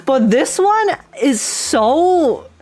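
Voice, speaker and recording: A woman streamer giving casual, chatty live commentary on a game, thinking aloud and quick to laugh. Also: sits high, sing-song, a little mellow. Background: faint game music.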